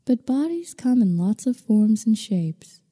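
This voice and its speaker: A woman guiding a meditation in slow scripted narration, with a soothing, unhurried, gently instructing manner. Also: a little high, very animated, breathy edge. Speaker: meditation guide. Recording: long reverberant hall-like echo.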